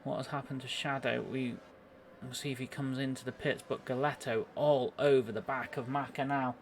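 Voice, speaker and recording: A man giving live play-by-play commentary on an online racing game, a little halting as he thinks through the action. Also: mid-range voice, steady tone, slight background noise.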